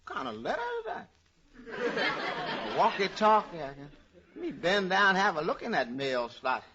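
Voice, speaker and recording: A man's voice: high-pitched, big pitch swings, normal speed, background noise. A man with an American accent playing a folksy, wisecracking household helper in 1940s radio comedy. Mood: puzzled, teasing. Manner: folksy, teasing, puzzled questioning. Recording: muffled old radio recording.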